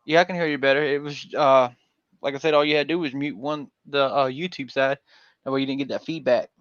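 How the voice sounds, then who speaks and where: A man's voice: mid-range voice, steady tone, fast, a bit bright, no echo. A man from Georgia hosting a casual livestream panel, talking in a rambling, folksy way with lots of fillers. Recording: dull lo-fi dry stream audio.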